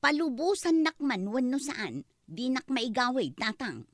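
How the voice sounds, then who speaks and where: A woman's voice: high-pitched, very animated, measured pace, no echo, clean studio sound, full character voice. A woman with an Ilocano accent playing a plaintive, headstrong young woman in a comedy-drama. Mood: earnest, pleading.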